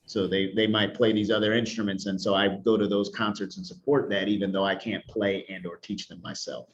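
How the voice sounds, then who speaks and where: A man's voice: fairly low pitch, little pitch movement, fairly quick. Earnest man on a muffled video call. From Detroit, Michigan. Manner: earnest, explanatory, with restarts. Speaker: music educator. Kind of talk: panel discussion answer.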